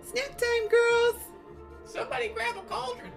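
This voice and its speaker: A woman voicing excitable, squabbling storybook witches in a shrill, creaky, exclaiming voice. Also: a little slow, soft background music, heavily acted. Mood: delighted.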